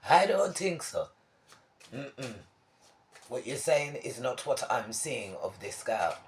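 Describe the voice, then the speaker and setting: A woman's voice: a bass voice, very animated, slow, far from the mic, a little hiss or hum, exaggerated voice. A woman voicing God as a skeptical, all-knowing figure with a Jamaican accent, drawling and dismissive. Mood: unconvinced.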